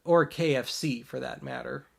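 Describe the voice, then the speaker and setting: A man's voice: mid-range voice, moderate pace, gravelly. A man hosting a show in a casual, wry commentary style. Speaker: host of a show about old tapes.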